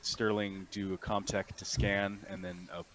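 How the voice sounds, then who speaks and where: A man narrating and refereeing a tabletop roleplaying game as game master, in a halting, deliberate way. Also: sits low, flat delivery, fairly bright tone, a little hiss or hum.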